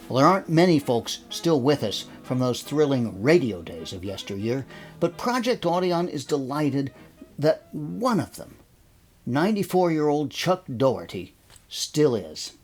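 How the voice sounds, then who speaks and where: A man hosting, delivering a scripted introduction to a radio drama program. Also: medium pitch. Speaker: host.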